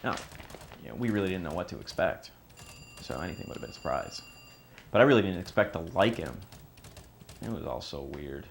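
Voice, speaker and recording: An actor playing an uneasy man giving a halting, understated account in a naturalistic documentary interview style. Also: sits low, animated, gravelly, a little hiss or hum. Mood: reflective. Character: uneasy man recounting meeting his secret half-brother.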